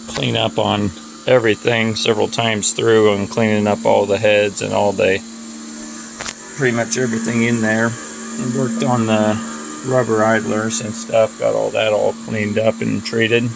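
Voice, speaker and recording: A man's voice: on the low side, level tone, a little slow. A man giving a casual, unscripted hands-on equipment demonstration in a plain-spoken, offhand way. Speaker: audio repair hobbyist demonstrating equipment. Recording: noisy recording with clear machine hum. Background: loud cooling fan and motor noise.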